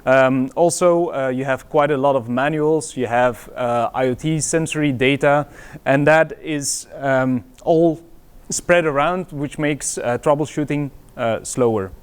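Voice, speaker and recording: A man's voice: medium pitch, unhurried, clear, resonant tone. A middle-aged man from Belgium with a Belgian accent, giving a conference presentation in a hesitant way with frequent fillers and restarts. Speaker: conference speaker.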